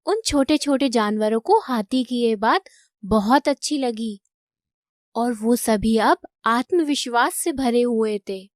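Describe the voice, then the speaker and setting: A woman's voice: a little high, slow pace, dry recording, clean studio sound. A woman narrating a scripted children's moral story.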